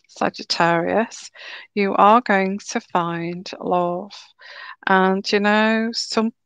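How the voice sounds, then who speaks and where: A woman giving a live tarot reading in a slow, soothing, reassuring way, her throat a little sore and croaky. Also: medium pitch, no echo. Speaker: tarot reader.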